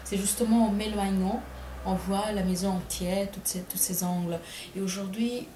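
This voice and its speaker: A middle-aged woman from India speaks with an Indian accent, choosing her words slowly and reworking her phrases as she goes. Her voice carries some room echo, and a faint ambient hum sits underneath. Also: medium pitch, steady tone, warm tone. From Bengal, India. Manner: thoughtful, many hesitations and self-corrections. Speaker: writer. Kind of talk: reflective interview answers.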